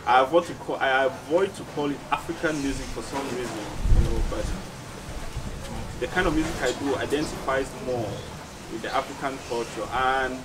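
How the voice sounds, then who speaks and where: A man's voice: medium pitch, moderate pace. Cameroonian guy talking about himself in an interview, thoughtful, mic kinda far away, lots of background noise. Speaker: musician being interviewed. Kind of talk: casual interview answers. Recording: distant mic, clearly noisy background ambience.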